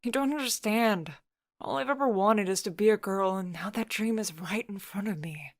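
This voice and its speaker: A woman's voice: mid-range voice, moderate pace, dry recording, pitched above the performer's own voice, lightly acted. A woman plays a young transfeminine friend in a visual novel story, doubting and anxious. The delivery is earnest with a sarcastic, whiny edge, recorded very dry. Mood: anxious, wistful.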